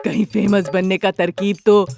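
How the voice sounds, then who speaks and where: A woman's voice: mid-range voice, little pitch movement, moderate pace, vocal fry, a little mellow, soft background music, pitched below the performer's own voice, natural voice. A woman voicing a stern, suspicious older mother-in-law who scolds in a cartoon family story. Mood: suspicious, scolding. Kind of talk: animated moral-story character voice.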